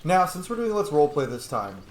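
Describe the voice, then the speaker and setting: A man's voice: on the high side, big pitch swings, a little fast. A man hosting a gameplay video with casual, rambling, sweary commentary and a sardonic edge. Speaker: video game streamer and host. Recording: roomy, faint background noise.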